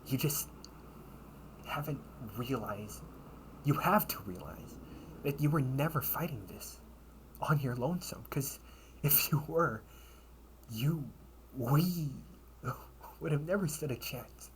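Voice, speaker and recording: A man playing a loyal knight comrade, earnest and encouraging, in an intimate dramatic audio roleplay with halting, breathy phrasing. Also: medium pitch, lively intonation, slight background noise. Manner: slow, halting delivery with breathy, hushed phrases.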